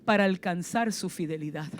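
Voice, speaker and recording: A woman's voice: on the low side, normal speed. A woman preacher with a Puerto Rican accent, delivering a passionate sermon full of rhetorical questions and sudden emphasis. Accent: Puerto Rican Spanish. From Puerto Rico.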